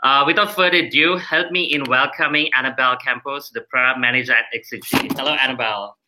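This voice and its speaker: A man's voice: mid-range voice, steady tone, fast talker, background noise. A man hosting a live online question-and-answer show, talking hesitantly with many fillers. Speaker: show host.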